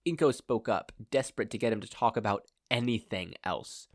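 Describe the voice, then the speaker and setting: A man's voice: on the low side, normal speed, no echo, clean studio sound. A man narrating a scripted story as the reader of an amateur audio fiction. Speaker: podfic narrator.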